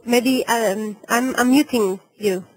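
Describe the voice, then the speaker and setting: A woman moderates an online webinar in a casual way. Her voice is fairly high and she takes her words very slowly. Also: low-quality recording. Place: online call.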